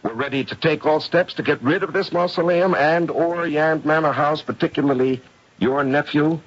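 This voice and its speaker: An actor playing a confident, gray-haired paranormal investigator in an old-time radio mystery, explaining in a deliberate, theatrical way. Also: male voice, fairly high pitch, natural voice. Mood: pressing. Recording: muffled, noisy radio drama recording.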